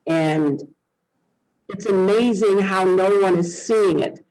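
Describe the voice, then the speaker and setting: A man with a high voice hosts an interview over a video call. He speaks slowly and emphatically, leaning into a preacherly cadence as he introduces his guest. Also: a little mellow, rough recording. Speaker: host.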